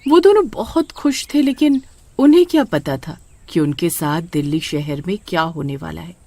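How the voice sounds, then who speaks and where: A woman's voice: lowish voice, expressive, normal speed, dark tone, no echo. A woman narrating a scripted horror story.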